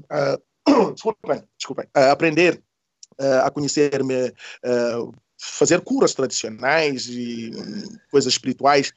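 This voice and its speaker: A man's voice: medium pitch, no room sound. Man, very dry close sound. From Senegal. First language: not Portuguese. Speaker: interview guest, musician. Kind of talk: casual, reflective personal storytelling.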